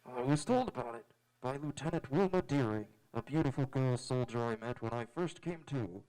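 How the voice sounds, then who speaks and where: A man playing an earnest, polite young space adventurer, speaking sincerely and in measured phrases in old-time radio drama style. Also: mid-range voice, animated, a little mellow, lightly acted, low-quality recording. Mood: admiring. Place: live on an auditorium stage.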